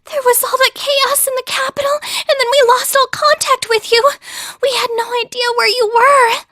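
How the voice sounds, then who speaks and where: A woman's voice: extremely high pitch, fairly even intonation, moderate pace, bright, forceful tone, no room sound, clean studio sound. A woman voicing a sweet, devoted teenage younger sister in an anime-style game, earnest and tender. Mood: tearful relief.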